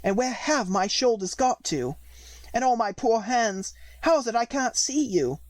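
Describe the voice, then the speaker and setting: A woman's voice: a little high, animated, measured pace, no room sound, pitched above the performer's own voice. A woman voicing a polite but indignant, puzzled little girl in a storybook, earnest and protesting. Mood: puzzled, alarmed. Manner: earnest, protesting, hesitant when unsure.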